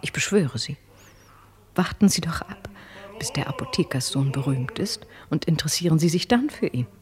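An actress playing a haughty, commanding marquise in a radio drama, speaking in a measured, imperious and aristocratic way. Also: female voice, sits low, animated, a little mellow. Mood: cutting, insistent. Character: haughty, commanding Parisian marquise, scornful and cutting. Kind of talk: literary radio drama acting.

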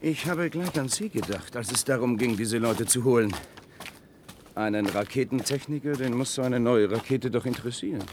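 A man's voice: medium pitch, moderate pace, background noise, natural voice. An actor playing a callous, cynical man among hunted survivors in a German radio play, brusque and emphatic. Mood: brisk.

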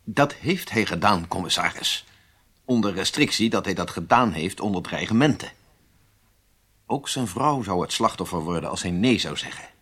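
An actor playing a stern police major in a radio drama, formal, measured and insistent in manner. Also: male voice, deep voice, animated, a bit bright, breathy edge, exaggerated voice. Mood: formal reporting. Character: stern, methodical male police major interrogating a spy.

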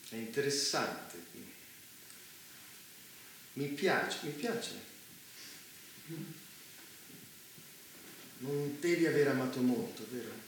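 An actor playing a cynical, menacing warmongering leader in a stage drama, provocative and philosophizing in manner. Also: male voice, medium pitch, expressive, slow. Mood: probing, amused. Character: cynical, menacing male leader who unleashed a war. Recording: very echoey room, faint noise.

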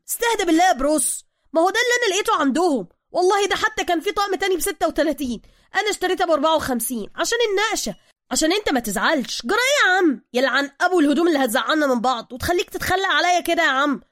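A woman playing an excitable, fast-talking Egyptian sidekick guy. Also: high voice, dry recording, studio quality, pitched above the performer's own voice, close to an everyday voice. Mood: wounded, pleading. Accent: Egyptian, colloquial Cairene. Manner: gushing, slangy, defensive. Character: excitable streetwise Egyptian man, loyal, touchy sidekick.

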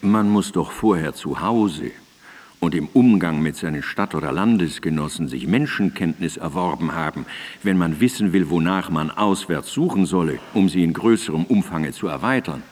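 An actor playing a learned male philosopher in a German radio drama, reciting in a deliberate, formal, lecturing manner. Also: deep voice, animated, a little mellow, in a large hall. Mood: measured, didactic. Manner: deliberate, formal, lecturing delivery of long sentences. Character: learned male philosopher Immanuel Kant, measured and didactic.

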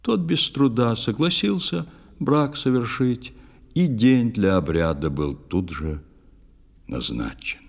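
A man narrating a children's audio play in verse, in a measured, expressive, theatrical manner. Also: medium pitch, gravelly, in a large hall.

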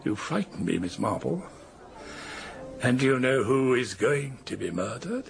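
Upper-class English gentleman, radio drama, creaky voice, animated intonation, polite and clipped. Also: mid-range voice, a little slow, soft background music. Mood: uneasy.

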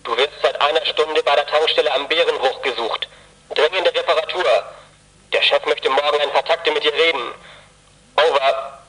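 A man's voice: fairly high pitch, fairly even intonation, normal speed, pushed and bright, rough recording. A man playing a stern, curt dispatcher speaking over CB radio in a radio play. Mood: stern, urgent. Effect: CB radio transmission.

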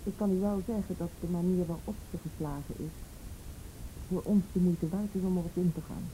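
An actress playing a firm, probing policewoman in a Dutch radio play, speaking in a measured, insistent and blunt way. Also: on the low side, mellow, slight background noise, close to an everyday voice, poor audio. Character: firm, probing policewoman confronting a mother over child abuse.

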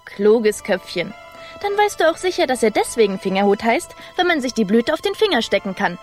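A woman playing a haughty, bossy little mouse princess in a children's radio play, with a pert, commanding manner and a husky voice. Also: on the high side, very animated, brisk, faint music behind.

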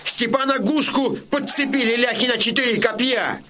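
An actor playing an alarmed Cossack soldier in an audio drama, calling out urgently. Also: male voice, extremely high pitch, normal speed, sharp tone, a little hiss or hum. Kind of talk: theatrical audio drama acting.

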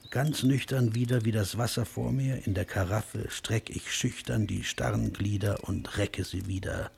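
Slow, gravelly, ageing passionate poet. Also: male voice, fairly low pitch, a little mellow. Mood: musing. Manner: declamatory, lyrical, drawn-out delivery. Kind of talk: poetic German radio drama recitation. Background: music.

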